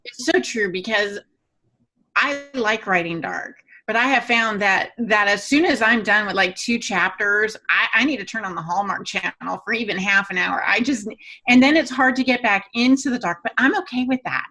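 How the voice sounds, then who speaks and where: A woman's voice: medium pitch, normal speed, sharp tone. A woman co-hosting a podcast, chatting casually and personally in an agreeable way. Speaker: podcast co-host and writer.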